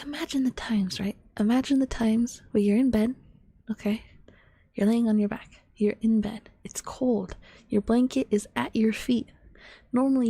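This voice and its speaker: A woman of twenty-one chatting to her stream in a soft ASMR whisper, rambling and giggly. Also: on the high side, husky, no echo.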